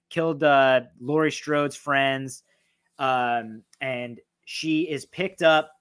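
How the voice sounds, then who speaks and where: Male horror reviewer, hoarse voice, excitable, swears freely, rambling casual talk, mid pitch. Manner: excited, profane, rambling with fillers. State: losing his voice, hoarse. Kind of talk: casual film ranking talk.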